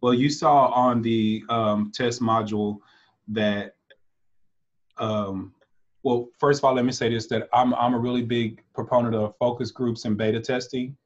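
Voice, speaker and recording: A man leading a ministry, speaking in a halting, measured way with many pauses on a video call. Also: mid-range voice, steady tone, dull audio. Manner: halting, lots of ums, measured. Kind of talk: casual video call meeting.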